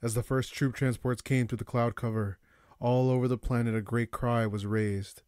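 A man narrating a dark science fiction audiobook in a solemn, grave, dramatic manner. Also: mid-range voice, steady tone, normal speed, smooth, dry recording, studio quality. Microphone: close, dry studio-style microphone.

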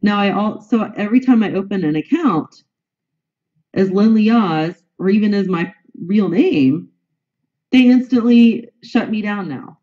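A woman's voice: medium pitch, animated, unhurried, muffled recording. Woman with a heavy cold. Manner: indignant, repeats herself. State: stuffy from a bad cold. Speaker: guest, news reporter. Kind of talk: interview answers, aggrieved complaint.

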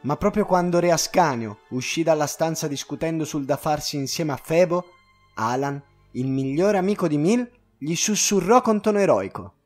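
A man with a high-pitched voice narrates a children's tale. His delivery is expressive and scripted, with music playing behind him. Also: moderate pace. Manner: expressive, storytelling.

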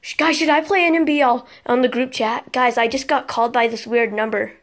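A teenage girl chatting casually and excitedly with friends as she films prank calls in a bedroom. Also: on the high side, brisk. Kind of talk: casual chat among friends. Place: small bedroom, indoors. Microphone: handheld phone camera.

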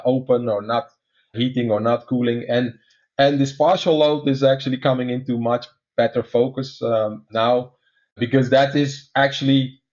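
A man with a Dutch accent walks through a technical topic in a measured, conversational way, often pausing on "uh". His pitch sits in an ordinary middle range. The recording sounds slightly dull and lo-fi. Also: darkish tone. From Netherlands. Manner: many "uh" fillers, measured explaining. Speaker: guest expert.

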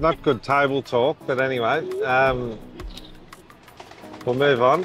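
A man's voice: mid-range voice, fairly even intonation, measured pace, loud music behind the voice. A man filming behind the camera, chatting in casual English with dry, cheeky jokes. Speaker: cameraman and co-host.

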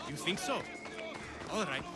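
An actor playing a gentle, lovelorn middle-aged Greek philosopher in a video game, speaking in a reflective, hesitant way. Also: male voice, a little high, sing-song, a little slow. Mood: wistful, hopeful. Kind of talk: video game dialogue acting. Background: game music.

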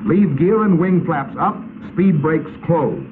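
An American man narrating a 1950s instructional film in a crisp, authoritative, instructional manner. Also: fairly high pitch, animated, takes it slowly. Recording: tinny, noisy 1950s film soundtrack.

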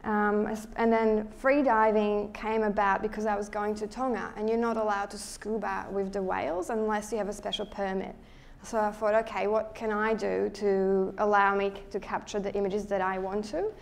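A young woman from Slovakia with a Slovak accent, speaking as a guest presenter to a live audience in a lecture hall, friendly and relaxed, restarting sentences as she thinks. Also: mid-range voice, normal speed, vocal fry. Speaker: guest speaker, underwater photographer. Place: lecture hall at a photo show.

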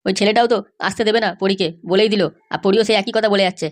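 A woman's voice: medium pitch, fairly even intonation, very fast, voice pushed down, lightly acted. A woman voicing a worried, forlorn cartoon husband who whines helplessly about his missing wife. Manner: whining, helpless. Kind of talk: exaggerated cartoon dialogue.

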